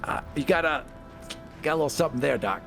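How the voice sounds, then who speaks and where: A man's voice: a little high, animated, quick pace, vocal fry. A man playing a tabletop game, describing his actions and rolls in casual talk. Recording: music behind the voice. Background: music.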